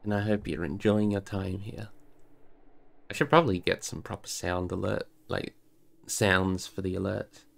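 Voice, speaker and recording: Creaky, low-voiced man hosting a reading stream. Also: level tone, moderate pace. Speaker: livestream host reading a book aloud. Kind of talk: casual livestream chat and expressive storybook narration.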